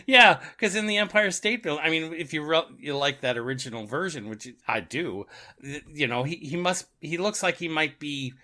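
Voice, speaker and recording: A man co-hosting a podcast in casual chat, rambling and halting as he repeats words, often given to chuckling. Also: fairly high pitch, animated, bright, forceful tone. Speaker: podcast co-host.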